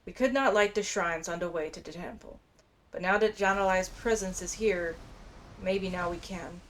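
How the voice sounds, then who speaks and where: Casual, slightly low-voiced woman gamer. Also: normal speed, fairly bright tone, rough recording. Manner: informal, musing, thinking aloud. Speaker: game streamer. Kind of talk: casual gameplay commentary with quest text read aloud. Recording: faint background noise and game ambience.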